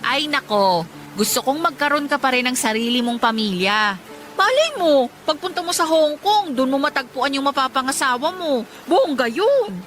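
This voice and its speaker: A woman's voice: high-pitched, animated, moderate pace, fairly bright tone, slight background noise. An actress playing a caring, pushy and playful mother who is someone's best friend, in a Tagalog radio drama. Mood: bossy, encouraging.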